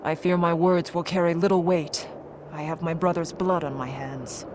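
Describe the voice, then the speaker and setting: An actress playing a proud Norn warrior woman in a fantasy video game, speaking gravely and formally. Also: on the low side, steady tone, normal speed, noisy background, natural voice.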